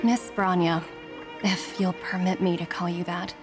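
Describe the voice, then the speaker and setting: A woman voicing a composed corporate agent in a video game, reading a formal, earnest and persuasive letter in a measured way. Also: on the low side, level tone, natural voice. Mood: measured, solemn. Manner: measured, formal, deliberate phrasing. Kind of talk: scripted game letter monologue. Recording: background music under the voice.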